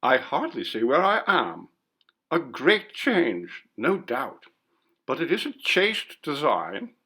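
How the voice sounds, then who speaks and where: Man playing a mild old college president, higher-pitched. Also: huge pitch swings, slow pace, sharp tone, slightly rough, heavily acted. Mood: mild wonder. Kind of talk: classic ghost story character reading.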